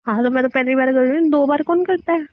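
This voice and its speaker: Woman acting, young wife, audio drama, playful, teasing, high voice, dull low-fidelity recording. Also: fast, lightly acted. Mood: cheeky. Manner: teasing, informal. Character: playful, cheeky, coaxing newlywed young wife. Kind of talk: casual intimate couple dialogue in an audio drama. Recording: dull lo-fi phone-call style audio.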